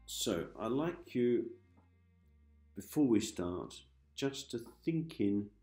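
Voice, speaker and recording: A man with a low, gravelly voice leads a guided relaxation for sleep. He is calm and gentle, repeating soft instructions with long pauses. The sound is roomy and echoing, with faint music underneath. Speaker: hypnosis host, meditation guide. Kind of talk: guided sleep hypnosis, soothing meditation.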